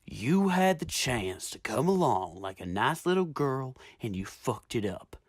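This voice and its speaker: A woman voicing a cruel, smirking gangster man in a gravelly, taunting drawl for a story reading. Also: a bass voice, sing-song, measured pace, a little mellow, dry recording, studio quality, voice pushed down, heavily acted. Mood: mocking menace.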